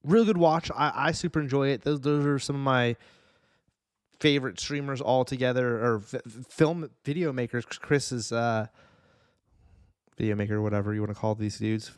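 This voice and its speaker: A man's voice: medium pitch, fairly even intonation, normal speed, clean tone, no room sound, clean studio sound. A man co-hosting a podcast in casual conversation, speaking informally with some swearing. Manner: profane, informal. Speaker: podcast co-host. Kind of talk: casual chat.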